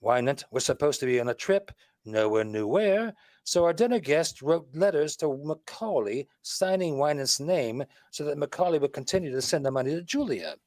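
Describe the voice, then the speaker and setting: An actor playing a shrewd, wisecracking detective who explains things with confident emphasis, in a 1940s radio mystery style. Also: male voice, on the high side, expressive, moderate pace, a little mellow, dry recording, close to an everyday voice. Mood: confident, explanatory. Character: shrewd, wisecracking male detective laying out a murder case.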